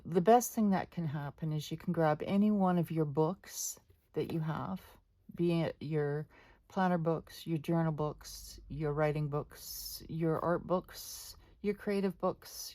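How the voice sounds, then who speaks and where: A woman hosting her own art channel, chatting casually at her dining table. She rambles with many fillers and asides to viewers, her voice lower than usual today. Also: steady tone, takes it slowly, mellow. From Canada. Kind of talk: casual unscripted chat. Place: home dining room table.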